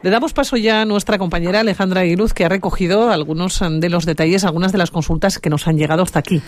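A woman's voice: lowish voice, steady tone, fast talker. A woman hosting a radio interview program, encouraging guests with frequent brief acknowledgements. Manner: friendly, encouraging, frequent "ujú" backchannels. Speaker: radio host.

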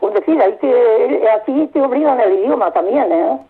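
Older woman from Spain, raspy, over the phone. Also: fairly high pitch, expressive, normal speed. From Álava, Spain. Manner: plain-spoken, reflective, many hesitations. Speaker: phone-in guest, emigrant in Norway. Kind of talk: casual radio interview. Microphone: telephone. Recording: tinny phone line.

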